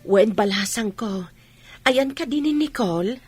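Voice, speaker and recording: A woman's voice: fairly high pitch, expressive, a little slow, darkish tone, breathy edge, dry recording. A woman playing a worried, fretful village mother in a radio drama. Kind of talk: radio comedy drama dialogue.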